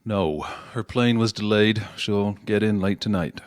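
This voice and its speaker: A man acting in an audio drama as a middle-aged politician father, educated, defensive but caring, speaking in a conversational, gently questioning way. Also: lowish voice, a little slow, dry recording, studio quality, natural voice. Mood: easygoing.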